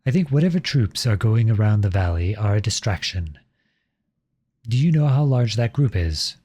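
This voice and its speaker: Guy acting as an exiled prince turned soldier, deepish husky voice, brooding n formal, audio drama rp. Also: big pitch swings, warm tone. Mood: serious, strategic. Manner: formal, measured, courteous. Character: outlawed young prince serving as lowly soldier, earnest, brooding. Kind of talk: scripted roleplay audio drama monologue.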